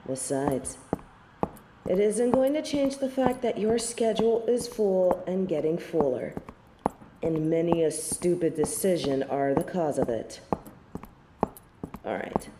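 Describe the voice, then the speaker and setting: A woman performs a disdainful female angel passing judgment on humans in an audio roleplay. Her voice is low and unhurried, with snobbish, formal wording. It rings in a roomy, echoing space. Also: lively intonation, noisy background. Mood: scornful judgment. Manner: snobbish, scornful, formal and archaic phrasing. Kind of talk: scripted audio roleplay monologue.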